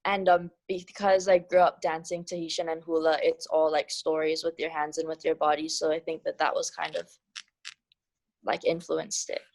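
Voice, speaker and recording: A woman dancer on a video call, talking softly and a little nervously in casual slang. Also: low-pitched, flat delivery, normal speed.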